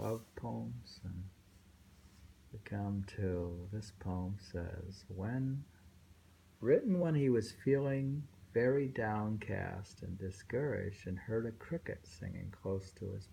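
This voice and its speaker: A man reading poems aloud informally and commenting on them in a halting, musing way. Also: lowish voice, gravelly, warm tone, a little hiss or hum, low-quality recording. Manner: halting, musing, with pauses and self-questioning. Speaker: reader and host discussing poems.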